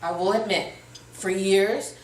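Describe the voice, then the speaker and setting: A woman's voice: medium pitch, fairly even intonation, slow pace, a little hiss or hum. Earnest woman, puerto rican background, talks from far off the mic in an echoey room, keeps repeating herself to press the point. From Puerto Rico. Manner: earnest, repetitive, encouraging. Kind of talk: candid personal talk show conversation. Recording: roomy sound from a distant mic.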